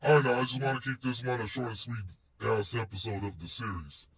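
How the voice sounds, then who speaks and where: A man's voice: mid-range voice, a little fast, gravelly, fairly bright tone, far from the mic, a little hiss or hum. A man vlogging casually about wrestling in a slangy, rambling, playful way, swearing freely. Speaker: vlogger and show host. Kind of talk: casual wrestling chat vlog.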